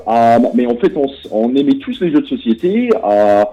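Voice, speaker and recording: A man with a British English accent answers interview questions, often stalling on fillers and restarting his sentences. His voice is mellow and dark. The recording sounds dull and lo-fi. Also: medium pitch, very animated, normal speed, faint music behind. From Britain. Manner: hesitant, many fillers and restarts. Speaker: game publisher cofounder. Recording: dull lo-fi audio.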